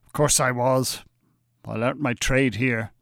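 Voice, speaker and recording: An actor with an Irish accent playing a smooth, bossy, pompous hypnotist and con-artist in a radio drama. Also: male voice, medium pitch, fairly even intonation, measured pace, a bit bright, no room sound, studio quality. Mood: confident.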